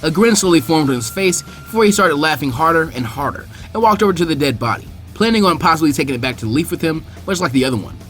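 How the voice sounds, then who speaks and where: A man narrates a fan story with a lively, expressive read. His voice sits fairly high and moves all over the place, with faint music underneath. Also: fast, few pauses. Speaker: fanfiction reader.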